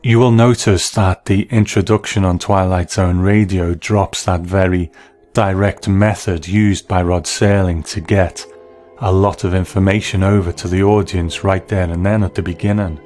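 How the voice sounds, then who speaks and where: Low-voiced man hosting a review podcast over music. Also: fairly even intonation, normal speed, darkish tone. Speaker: podcast host. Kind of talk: measured review commentary.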